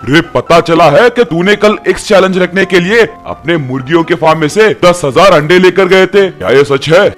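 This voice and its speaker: Man as exasperated, higher-pitched village father. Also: moderate pace, a bit bright, faint music behind. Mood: angry. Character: exasperated scolding village father, worried businessman. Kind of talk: Hindi animated moral story dialogue.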